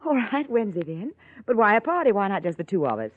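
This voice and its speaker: A woman's voice: medium pitch, very animated, fast talker. An actress playing a haughty, scheming woman columnist in an arch, biting drawl, in old-time radio drama style. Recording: muffled vintage radio recording, narrow band.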